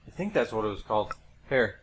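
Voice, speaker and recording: A man's voice: fairly low pitch, big pitch swings, a little fast, a little hiss or hum. A man in his thirties co-hosting a podcast, talking casually in a joking, teasing way. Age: 30s. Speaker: podcast co-host. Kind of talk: casual chat. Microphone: podcast microphone.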